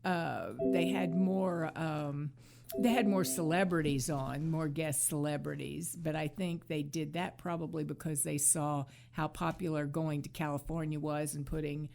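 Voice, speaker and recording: A woman's voice: low voice, fairly even intonation, unhurried, gravelly, a little mellow. A woman co-host chatting casually about classic TV, often hesitating and repeating her phrases. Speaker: podcast co-host.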